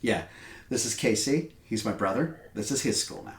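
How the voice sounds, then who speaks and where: A man running a tabletop horror game, narrating casually and informally in a halting, jokey way. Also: lowish voice, vocal fry. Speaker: game master. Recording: roomy echo, faint noise and clicks.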